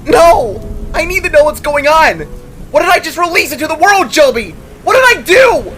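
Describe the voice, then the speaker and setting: A man's voice: very high-pitched, sing-song, normal speed, a bit bright, pitched above the performer's own voice, exaggerated voice. A man voicing a guilt-ridden, defiant teenage boy who stammers and pleads in a dramatic story. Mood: angry, desperate.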